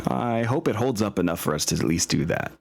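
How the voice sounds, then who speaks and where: A man's voice: on the low side, lively intonation, brisk, gravelly, a little mellow. A man chatting casually as game master while setting up, with an informal, slangy and apologetic manner. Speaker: game master and host of a tabletop campaign. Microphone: online voice chat.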